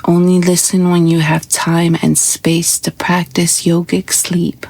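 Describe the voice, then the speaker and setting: A woman with a soft, breathy, husky voice guiding a scripted sleep meditation in a slow, soothing, hushed manner. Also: fairly low pitch, flat delivery, a little hiss or hum. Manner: slow, soothing, hushed delivery with long pauses. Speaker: yoga nidra meditation guide.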